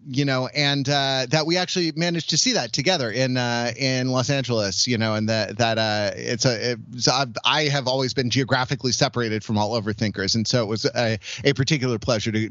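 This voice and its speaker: A man hosting a podcast in casual conversation, wordy and halting, often chuckling as he talks. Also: medium pitch, fairly bright tone, no echo, muffled recording. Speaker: podcast host.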